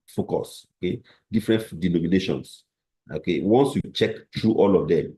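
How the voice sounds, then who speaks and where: Blunt man, deep and dark. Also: poor audio. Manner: blunt, reads and answers viewer comments. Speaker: trading class host. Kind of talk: live chat trading class.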